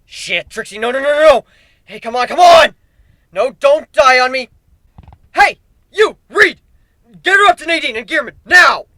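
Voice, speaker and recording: A man's voice: extremely high pitch, lively intonation, normal speed, long pauses, pushed and bright, no room sound, pitched above the performer's own voice, exaggerated voice. A man voicing a brash, foul-mouthed female griffin warrior who barks urgent orders in a dramatic story reading. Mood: panicked, urgent.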